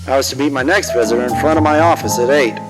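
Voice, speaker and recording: An actor playing a cocky, wisecracking old-time private detective in a noir radio drama, wry and swaggering. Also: male voice, mid-range voice, quick pace, music in the background, lightly acted, low-quality recording. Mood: hard-boiled narrating. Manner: smooth-talking, wry, swaggering. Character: cocky, wisecracking 1940s hard-boiled male private detective.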